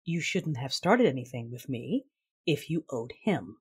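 An actress playing a proud, passionate but guarded woman warrior, narrating an audio drama in the first person in a breathy, intimate, measured way. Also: a bass voice, big pitch swings, darkish tone, heavily acted. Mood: tense. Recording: music under the voice. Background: music.

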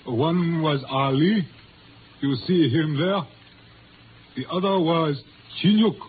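An actor in a 1950s radio drama playing a proud, solemn older Chinese father who leads his community, speaking in formal, measured phrases. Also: a little high. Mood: grave, ceremonious. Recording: muffled, noisy 1950s radio recording.

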